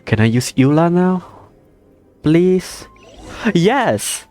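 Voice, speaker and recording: Slow-paced young man reacting to a game. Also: fairly high pitch, animated, darkish tone, soft background music. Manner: slangy, jokey. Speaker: game streamer. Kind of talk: casual gameplay reaction commentary.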